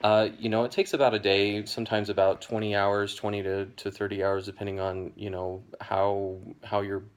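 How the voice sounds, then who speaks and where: A young American man explaining a topic to camera in a measured, hedging way, with frequent ums and "you know". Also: lowish voice, flat delivery, smooth. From United States. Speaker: educational video host.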